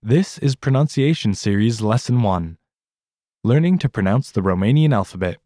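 A man with an American accent hosting a scripted language lesson podcast in an upbeat, expressive way. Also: lowish voice, normal speed, dry recording, studio quality. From United States. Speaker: podcast host.

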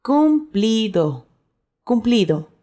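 A woman's voice: fairly high pitch, sing-song, very slow, mellow. A woman co-hosting a language lesson, presenting words and example sentences.

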